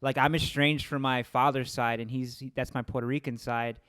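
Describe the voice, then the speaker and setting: A man's voice: mid-range voice, steady tone, a little fast, fairly bright tone, clean tone, no echo, studio quality. An American man in his thirties interviewing someone casually, informal and emphatic, often seeking agreement with "right?" and "you know". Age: 30s. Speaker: interviewer, host.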